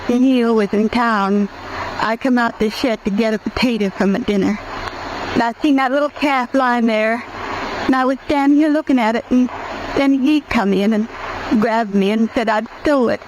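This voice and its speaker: Actress as a plaintive rural American homesteader wife. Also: female voice, a little high, close to an everyday voice. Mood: hurt, defensive. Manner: halting, plaintive. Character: wounded, anguished poor homesteader wife in the Old West. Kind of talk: old-time radio western drama acting. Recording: noisy vintage radio recording.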